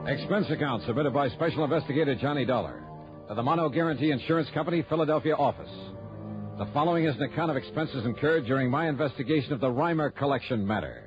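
A man acting a freelance insurance investigator in a 1960s radio drama. He is American, clipped and colloquial, rattling along quickly. The old broadcast recording sounds dull. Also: mid-range voice, music in the background, close to an everyday voice. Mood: brisk narrating.

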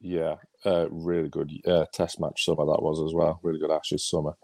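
A young man with a croaky, hoarse voice from a cold, co-hosting a casual podcast with hesitant speech full of ums. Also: very deep voice, flat delivery, normal speed, a little mellow, no echo. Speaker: podcast co-host. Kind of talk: casual football podcast chat.

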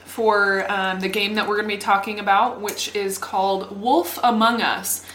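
A young woman hosting a casual podcast, friendly and relaxed, giggling now and then. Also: mid-range voice, normal speed, a little hiss or hum. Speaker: podcast host. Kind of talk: casual podcast intro and chat. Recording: roomy echo with faint ambience.

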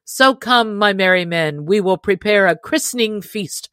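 A woman's voice: medium pitch, a little slow, fairly bright tone, no room sound, clean studio sound, voice pushed up, natural voice. A woman voicing a jolly, bold male outlaw leader who speaks in hearty, commanding old-fashioned speech. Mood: amused.

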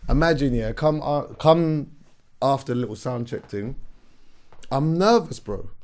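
A young man, hoarse from a recent illness, telling a story in animated, slangy casual chat as a podcast host. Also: mid-range voice, unhurried. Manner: animated storyteller, slangy, calls everyone bro.

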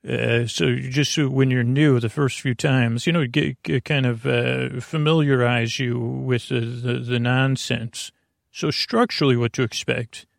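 Creaky, meandering male sleep podcast host. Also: medium pitch, fairly even intonation, no room sound, studio quality. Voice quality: creaky, gravelly voice. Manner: meandering, halting, repeats himself, gently goofy. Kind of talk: rambling bedtime monologue to help listeners sleep.

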